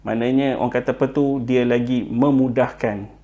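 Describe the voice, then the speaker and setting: A man with a Malaysian accent explaining tips informally and unscripted, pausing on fillers, repeating himself and mixing in English words. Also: medium pitch, fairly even intonation, mellow, highs cut off. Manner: halting, frequent filler words and repetition; code-switches with English words. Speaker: presenter giving buying tips.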